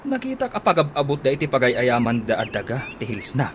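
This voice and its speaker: Man acting animated Ilocano family man. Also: medium pitch, brisk. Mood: mild, puzzled. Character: easygoing, teasing, thrifty husband and father. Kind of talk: Ilocano radio comedy drama acting. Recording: noisy radio drama recording, ambient sounds.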